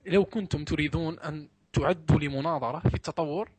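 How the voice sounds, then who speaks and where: Husky man moderating, quick speech. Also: fairly high pitch, darkish tone, no room sound. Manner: interjecting, calling on speakers repeatedly. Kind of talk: moderating a debate.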